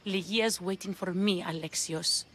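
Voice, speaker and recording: A woman's voice: fairly low pitch, fairly even intonation, a little slow, fairly bright tone, slight background noise. A woman playing a frightened, devoted young lover with an impassioned, pleading manner in a video game cutscene. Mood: yearning.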